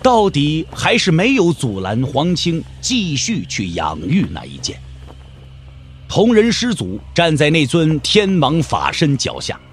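A man's voice: a little high, huge pitch swings, a little slow, soft background music. A man giving dramatic scripted narration for an audio drama, theatrical and emphatic.

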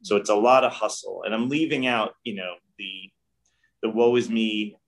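A middle-aged man speaks in a lowish, unhurried voice, reflecting earnestly and often restarting his sentences. He is talking from a room at home over a webcam call. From New York. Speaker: guest director. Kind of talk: casual interview. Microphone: video call webcam.

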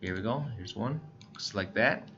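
An American man giving a casual, plain-spoken step-by-step tutorial as he presents software on screen. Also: fairly low pitch, expressive, normal speed. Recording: dull lo-fi voice-over recording.

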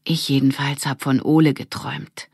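A woman's voice: a bass voice, little pitch movement, moderate pace, gravelly, darkish tone, slightly rough, no room sound, studio quality, heavily acted. A woman voicing a bossy, imaginative young girl who leads a gang, in an emphatic, conspiratorial children's audiobook style. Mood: musing.

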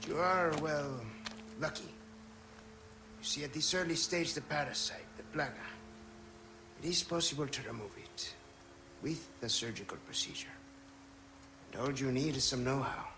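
A man playing a roguish, charming scientist in a video game cutscene, smooth, wry and teasing. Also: mid-range voice, moderate pace, pauses often, noisy background, natural voice. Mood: wry, explaining. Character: roguish, charming male scientist with a secret plan. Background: cinematic game music.

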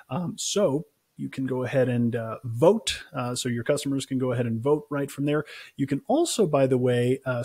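A man in his thirties giving a casual, conversational software demo as a customer support presenter, recorded on a close mic. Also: on the high side, slightly rough, dry recording. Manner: informal, conversational explaining.

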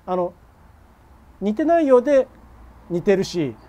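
A man speaks with a soft, mellow tone and a very lively, rising and falling melody. He explains things politely as an interview guest, feeling his way through the sentences on a video call. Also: high-pitched, a little slow. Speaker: film journalist guest.

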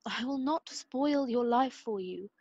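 An actress playing a principled, devoted English wife, speaking earnestly and formally in a radio play. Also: female voice, a little high, measured pace, a little mellow, muffled recording.

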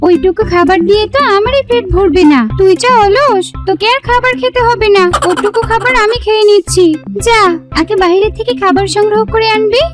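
A woman voicing a bossy, sharp-tongued wife fox who nags and scolds in a children's cartoon. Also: very high-pitched, fairly quick. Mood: scolding. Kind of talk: children's fairy-tale cartoon voice acting. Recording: loud children's music under the voice.

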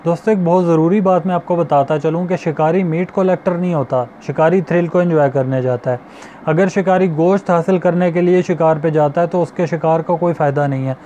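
A young man talks straight to camera in a small studio, teaching fishing basics one step at a time and circling back to repeat his points. His voice sits a little high but sounds soft and mellow. A faint hum of noise runs beneath the lapel mic. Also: fairly even intonation, brisk, muffled recording. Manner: explanatory, repeats points, addresses viewers as friends. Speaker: fishing channel host. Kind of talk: instructional talk to camera. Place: small indoor studio with starry backdrop.

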